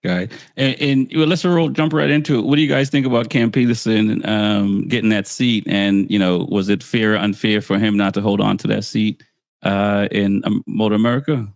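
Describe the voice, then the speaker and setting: A low-pitched man with a gravelly, creaky edge, hosting a casual podcast and putting a question to his guests in a plain, low-key way. The sound is dry and close. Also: fairly even intonation, normal speed, no room sound. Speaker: podcast host.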